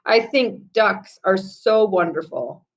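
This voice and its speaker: A young brother from a children's storybook, teasing and quick to bicker, played by a woman reader. His lines come out exclamatory, though slowly spoken. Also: medium pitch, expressive. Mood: eager.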